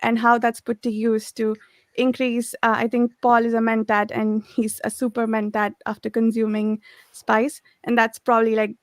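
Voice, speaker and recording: A woman's voice: on the high side, monotone, normal speed, no echo. A woman in a casual book discussion, thoughtfully piecing her ideas together as she speaks.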